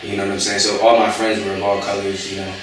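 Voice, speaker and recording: A young man with a fairly low voice talks haltingly, restarting often and leaning on fillers. He sits well back from the mic. The room gives his voice a strong, hollow echo. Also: little pitch movement. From United States. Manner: hesitant, many fillers and restarts. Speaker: interviewee. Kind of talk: casual interview conversation. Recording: echoey room, voice from far off.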